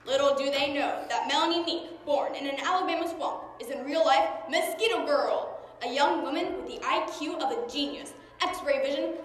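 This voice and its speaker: A very echoey hall rings around a woman acting as a radio serial announcer. The voice is high and declamatory, telling the tale with melodramatic flourish. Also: natural voice. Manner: theatrical, declamatory. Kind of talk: scripted radio drama narration. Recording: echoey hall, long reverb.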